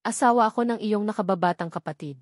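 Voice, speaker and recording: A woman's voice: mid-range voice, fast talker, no room sound, natural voice. Woman voicing a cheeky, flirtatious male warrior, dry sound. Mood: teasing. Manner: teasing, flirtatious.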